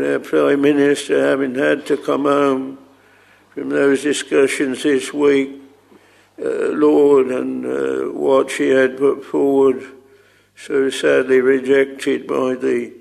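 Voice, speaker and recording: A man leading an extempore public prayer, solemn and halting, in archaic thee-and-thou language. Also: medium pitch, vocal fry, darkish tone.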